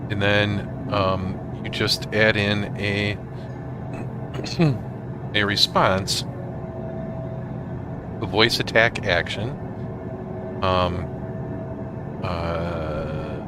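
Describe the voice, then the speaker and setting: Low-voiced man walking through software. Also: steady tone, very noisy. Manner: informal, thinking aloud, halting. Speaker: tutorial host. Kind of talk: casual software walkthrough.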